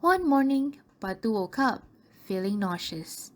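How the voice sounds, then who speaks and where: A woman's voice: on the high side, sing-song, a little slow, a little hiss or hum. A woman narrating a radio drama in a scripted storytelling style.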